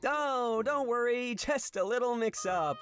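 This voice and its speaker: A man voicing a sly, flirtatious animal rebel in a video game, smooth-talking and confiding. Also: extremely high pitch, a little slow, fairly bright tone. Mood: smug, confiding. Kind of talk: video game character dialogue. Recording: music behind the voice.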